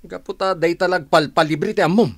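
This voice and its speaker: A man's voice: sits high, brisk. A man with an Ilocano accent playing a blunt, teasing and reproachful co-worker in a Philippine radio drama. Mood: admonishing. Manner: emphatic, admonishing, tag questions.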